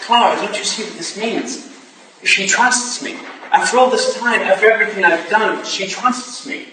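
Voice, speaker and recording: A man's voice: sits high, normal speed, pushed and bright. A man playing an earnest, idealistic Victorian husband who pleads and defends himself in a staged reading of a period drama. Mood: earnest, defensive. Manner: earnest, theatrical. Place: staged reading in a room, recorded from afar. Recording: distant echoey room, faint noise.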